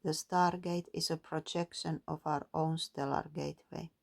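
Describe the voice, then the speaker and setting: Slow, low-voiced woman with a gravelly edge. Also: fairly even intonation. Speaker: tarot and oracle card reader. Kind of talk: spiritual card reading, reading guidebook text aloud.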